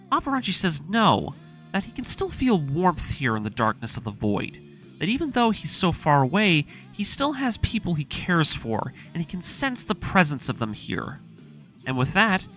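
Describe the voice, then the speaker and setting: A man with a fairly high, bright voice tells a story recap over background music. His delivery is dramatic and expressive, with pitch that moves widely as he narrates from a script. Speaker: narrator of an anime story breakdown series.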